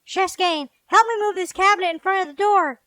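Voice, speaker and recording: A man's voice: extremely high pitch, a little fast, sharp tone, no room sound, studio quality, pitched above the performer's own voice. A man voicing an urgent female spellcasting adventurer in a narrated fantasy story. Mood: urgent, alarmed.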